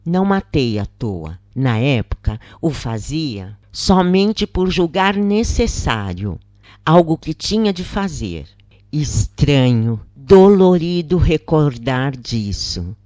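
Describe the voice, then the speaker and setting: Slow, lively-pitched storytelling narrator. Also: no echo, studio quality. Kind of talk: scripted first-person narration of a spirit's story.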